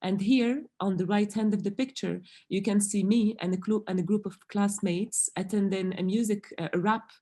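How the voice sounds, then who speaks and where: A young woman from Morocco, a doctoral researcher giving a conference presentation in a careful, measured way with frequent hesitant fillers. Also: mid-range voice, fairly even intonation, warm tone. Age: young adult.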